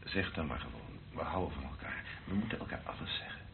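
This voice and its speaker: A troubled tender priest in a radio play, real deep voice, muffled noisy old recording. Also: male voice, animated, quick pace. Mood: gentle, coaxing. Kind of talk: Dutch radio drama acting.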